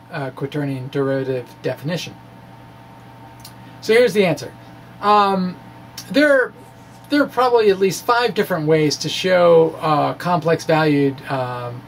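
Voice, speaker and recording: A man giving an informal, animated explainer of his own material, with wry asides and a gravelly edge to his voice. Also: a little high, a little hiss or hum, rough recording. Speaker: physics blogger presenting his own puzzles. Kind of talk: informal explainer, reading and discussing a blog.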